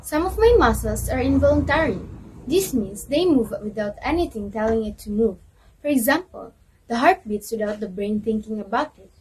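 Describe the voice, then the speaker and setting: A woman's voice: on the high side, lively intonation, normal speed, a little mellow, a little hiss or hum, lightly acted, low-quality recording. A woman playing a proud muscular system that testifies and explains its importance in an amateur educational reader's theater play. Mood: boastful, explanatory.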